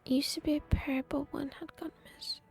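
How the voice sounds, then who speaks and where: A woman livestreamer chatting casually over a game, musing informally and hesitantly with pauses. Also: high-pitched, little pitch movement, in a whisper. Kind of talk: casual chat over gameplay. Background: game music.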